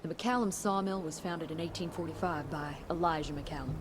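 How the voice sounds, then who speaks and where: An actress playing a formal, deferential female scientist giving crisp research briefings in a game cutscene. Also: low voice, fairly quick, noisy background, lightly acted. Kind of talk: video game cutscene acting.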